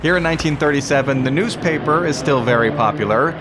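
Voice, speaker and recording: A man's voice: fairly high pitch, quick pace, noisy background. A man hosting a podcast, reading a scripted introduction to vintage radio episodes. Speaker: podcast host.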